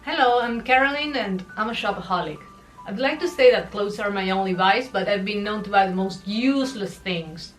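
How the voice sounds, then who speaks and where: A woman who is not a native English speaker talks in a candid, reflective, self-deprecating way, her voice sitting at an ordinary middle pitch. Music plays clearly underneath her personal vlog narration. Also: normal speed, poor audio. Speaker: vlogger.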